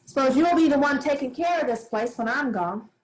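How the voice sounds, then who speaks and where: A woman playing a firm, loving old farm grandmother in a staged play reading, giving brisk, kindly orders in plain rural dialect. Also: high voice, lively intonation, low-quality recording. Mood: tender, resigned.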